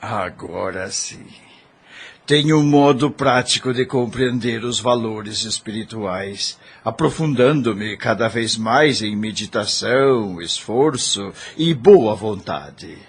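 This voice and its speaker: A man playing a solemn, pious gentleman who speaks formally and deliberately in a religious audio drama. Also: on the low side, expressive, a little hiss or hum. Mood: solemn, pleased.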